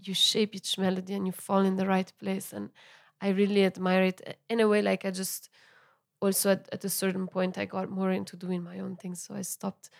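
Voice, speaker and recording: A young woman whose first language is Italian talks loosely about her music, her voice carrying a light gravelly fry. She fills her sentences with little fillers and soft laughs at a mid pitch. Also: completely flat delivery, a little fast. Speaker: musician guest. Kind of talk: casual interview chat.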